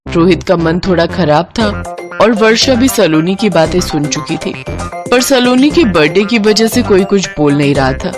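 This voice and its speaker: A woman's voice: on the low side, expressive, normal speed. A woman giving scripted narration of a moral family story as its storyteller. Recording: voice over prominent music.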